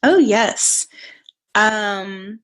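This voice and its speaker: A woman's voice: mid-range voice, extremely slow. An American woman answering interview questions in a thoughtful, friendly way, with frequent "um"s. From United States. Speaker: guest.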